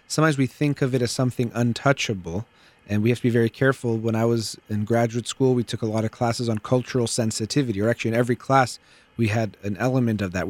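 A middle-aged man hosting a call-in psychology radio show, explaining things in a calm, measured way. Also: mid-range voice, no echo. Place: radio studio.

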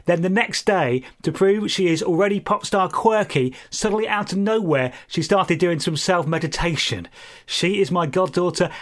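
Higher-voiced British male radio presenter. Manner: chatty, jokey, self-deprecating. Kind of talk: music radio links with light anecdotes.

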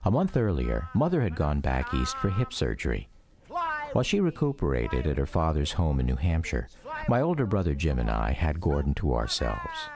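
Male documentary narrator, deep register, animated intonation, reflective scripted storytelling. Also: normal speed, a crowd in the background.

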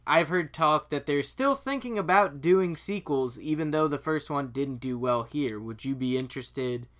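Man, lively swooping pitch, slightly high, blunt slangy podcast banter. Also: moderate pace. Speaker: podcast co-host. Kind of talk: casual, profane chat about films.

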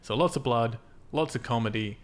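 A man's voice: mid-range voice, moderate pace, a little hiss or hum. A man hosting a casual film podcast, explaining things in an informal, sweary way. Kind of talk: casual film discussion from notes.

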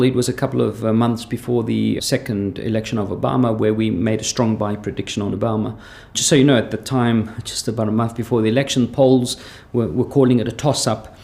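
A man with a slight South African accent, a professor explaining his research in a measured, thoughtful way with frequent pauses. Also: mid-range voice, creaky, darkish tone. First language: Greek heritage, born in South Africa.

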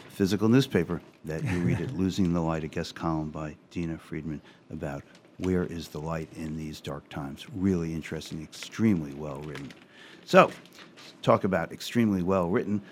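Deep-voiced chatty radio host. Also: male voice, a little hiss or hum. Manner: chatty, with asides and fillers. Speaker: radio talk show host. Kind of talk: casual radio talk, reading headlines.

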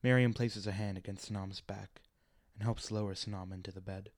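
Low-voiced man narrating a radio play. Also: steady tone, fairly quick, a little mellow, no room sound, clean studio sound. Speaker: narrator reading stage directions. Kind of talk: scripted narration.

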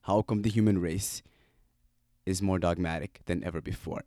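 Very deep-voiced man musing aloud. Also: steady tone, normal speed, mellow, no room sound, clean studio sound. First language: Somali. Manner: casual, playful, full of rhetorical questions. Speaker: podcast host. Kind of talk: solo reflective podcast monologue.

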